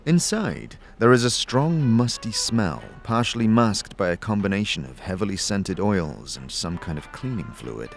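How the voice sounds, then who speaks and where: Guy doing dramatic fantasy story narration, lowish voice, really animated intonation, bit of music under it. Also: moderate pace, gravelly, few pauses. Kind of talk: second-person dramatic scripted narration.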